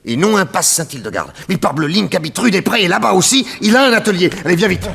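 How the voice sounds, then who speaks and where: An actor playing an upright, resolute detective in a 1960s French radio serial, terse, dramatic and commanding. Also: male voice, sits high, animated, fast, vocal fry, fairly bright tone, heavily acted. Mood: anxious reasoning.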